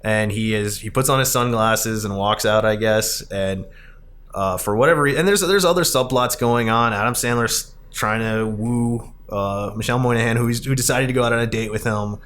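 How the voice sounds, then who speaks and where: A man's voice: lowish voice, moderate pace, a bit bright. A man hosting a podcast in a casual, rambling, conversational style, as he recaps a film. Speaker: podcast host.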